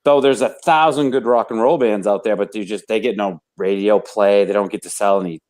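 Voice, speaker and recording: A man's voice: fairly low pitch, a little fast, darkish tone. A man chatting casually as a panelist, opinionated and slangy in his way of talking. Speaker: talk show panelist. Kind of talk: casual chat.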